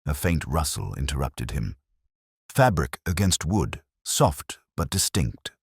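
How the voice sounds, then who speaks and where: Very deep-voiced male mystery narrator. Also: very animated, gravelly, breathy edge, no room sound, studio quality. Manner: measured, solemn delivery in short phrases. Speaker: storyteller narrator. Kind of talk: scripted dramatic mystery audiobook narration.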